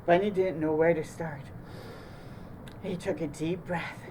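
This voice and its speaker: Slow, mellow woman reading a picture book. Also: low voice, a little hiss or hum. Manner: expressive, deliberate. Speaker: storytime host reading aloud. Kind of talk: children's picture book narration.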